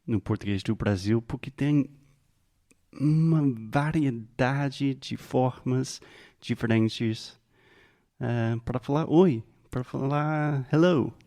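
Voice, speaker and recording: A man who learned the language himself co-hosts a relaxed teaching chat. His mid-range voice is mellow and warm. He asks measured questions and slows down on the phrases that matter. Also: medium pitch. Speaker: podcast co-host and Portuguese learner.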